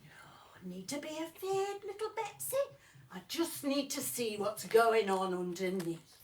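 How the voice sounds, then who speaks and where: Woman putting on a low, whispery, coaxing father, radio play, echoey and distant. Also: lively intonation, a little slow, a little hiss or hum. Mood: hushed. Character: creepy, hushed father calming his child. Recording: roomy, distant echoey recording.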